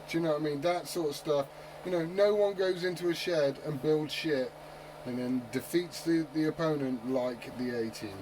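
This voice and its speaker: A man hosting a casual chat over CB radio. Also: mid-range voice. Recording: noisy CB radio transmission.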